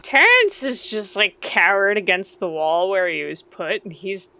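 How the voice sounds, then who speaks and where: A woman's voice: mid-range voice, expressive, a little slow, pushed and bright. A woman talking casually as a player in a tabletop game, improvising what her character does.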